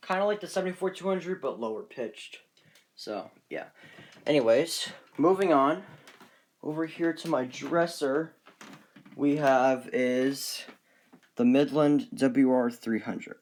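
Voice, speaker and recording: A man with a mid-pitched voice casually shows off a collection. He speaks off the cuff and haltingly. His phrases often trail away. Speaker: collector presenting weather radios. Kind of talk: casual unscripted show-and-tell.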